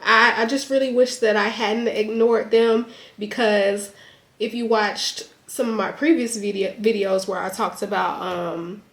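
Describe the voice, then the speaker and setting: A woman's voice: medium pitch, fairly even intonation, a little slow. Young adult woman, conversational vlogger, mildly congested, filler-heavy, roomy echo. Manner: chatty, lots of "like" and "um". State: a bit congested. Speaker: YouTuber. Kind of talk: casual sit-down chat to camera. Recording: echoey room.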